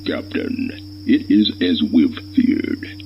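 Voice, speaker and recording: A man playing a gruff, dutiful rabbit lieutenant commander in an audio space drama, with a very deep, gravelly voice. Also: huge pitch swings, takes it slowly, lo-fi sound, slight background noise, heavily acted. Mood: dutiful, tense. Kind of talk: scripted audio drama acting.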